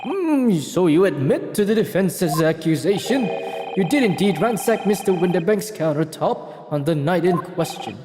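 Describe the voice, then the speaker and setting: A man's voice: sits high, lively intonation, moderate pace, long reverb tail, noisy background. A man playing a stern older courtroom judge who speaks with formal, solemn pronouncements.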